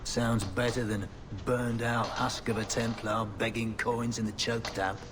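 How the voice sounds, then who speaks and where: An actor playing a gruff, burned-out former Templar addict in a fantasy game, world-weary, sardonic and colloquial. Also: male voice, medium pitch, little pitch movement, measured pace, noisy background, close to an everyday voice. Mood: weary, bitter. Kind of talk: video game dialogue acting.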